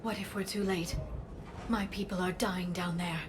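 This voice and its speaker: A woman's voice: on the low side, steady tone, normal speed, close to an everyday voice. A woman voicing an earnest, worried alien scholar in a video game, with ambient noise behind her.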